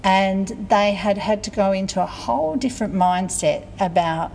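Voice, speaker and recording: A woman's voice: medium pitch, normal speed, creaky, darkish tone, slight background noise. A woman hosting a podcast in a casual, chatty and informal way. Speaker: podcast host. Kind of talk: casual chat.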